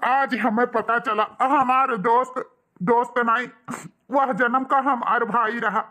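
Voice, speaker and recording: A man's voice: extremely high pitch, fairly even intonation, slow, bright, forceful tone, exaggerated voice. A man voicing a humble, injured village father in a cartoon, with an Awadhi accent and a sentimental, pleading delivery. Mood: apologetic, moved.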